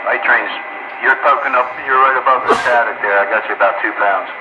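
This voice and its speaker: A man's voice: medium pitch, brisk, roomy sound. A man chatting casually and plainly over two-way radio as an operator, in a folksy way. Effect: two-way radio transmission. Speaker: amateur radio operator on air. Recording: muffled radio signal with loud static.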